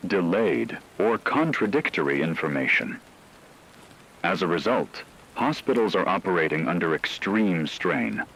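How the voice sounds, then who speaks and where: A man voicing a grave radio news anchor in a dystopian crisis broadcast, reading scripted news in a measured, solemn, husky way. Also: low-pitched, fairly bright tone, exaggerated voice, rough recording.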